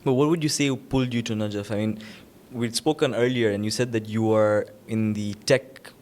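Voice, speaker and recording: A man's voice: fairly low pitch, steady tone, moderate pace, a little mellow. A man hosting a podcast, opening the episode and asking his guest curious questions in an upbeat way. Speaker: podcast host. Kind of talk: podcast interview.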